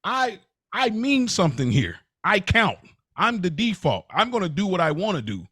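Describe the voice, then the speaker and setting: A man's voice: high-pitched, sing-song, moderate pace, sharp tone, breathy edge, no room sound, studio quality. A man co-hosting a podcast in casual, slangy discussion, conceding points before he pushes back. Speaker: podcast co-host.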